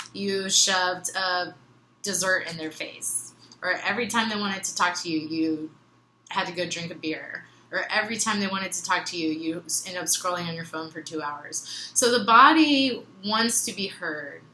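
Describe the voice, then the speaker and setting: Bright-voiced woman musing in an echoey room. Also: medium pitch. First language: other than English. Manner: meandering, earnest, laughs often, many fillers. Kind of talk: reflective casual monologue. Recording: echoey room, faint ambient noise.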